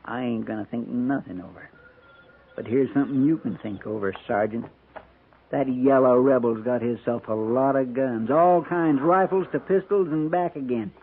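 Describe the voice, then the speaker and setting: A man's voice: medium pitch, moderate pace, close to an everyday voice. An American man playing a surly, goading, insubordinate cavalry trooper in a 1950s radio western, with needling, folksy speech. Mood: sly, threatening. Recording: noisy, tinny 1950s radio recording.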